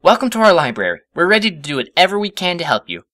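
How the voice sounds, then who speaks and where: Man putting on an excitable, high-pitched bookish girl pony. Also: quick pace, full character voice. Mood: eager. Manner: earnest, gushing, flustered. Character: bookish, excitable young female pony, anxious hero-worshipper. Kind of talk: storybook character voice.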